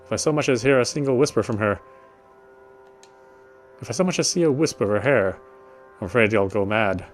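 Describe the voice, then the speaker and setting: A man's voice: lowish voice, fairly quick, long pauses. A man doing casual let's play commentary and reading a game's story text aloud. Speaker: game streamer. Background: game music.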